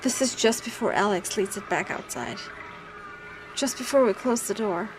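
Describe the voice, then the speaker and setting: A woman's voice: medium pitch, expressive, normal speed, natural voice, low-quality recording. An actress playing a sober female survivor recounting an attack in a naturalistic audio drama. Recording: clear background ambience noise.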